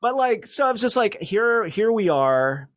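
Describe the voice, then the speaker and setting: A man's voice: high-pitched, very animated, normal speed, a bit bright, dry recording, studio quality. A middle-aged American man chatting casually as a podcast guest, reflective and loosely rambling. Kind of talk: casual conversational interview chat.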